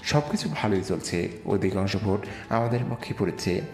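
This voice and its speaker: A man's voice: on the low side, expressive, moderate pace, darkish tone. A man playing a bold, scheming leader of a slum political gang in an audio drama, assured and commanding. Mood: confident. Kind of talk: amateur audio drama acting. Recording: echoey reverb with music behind.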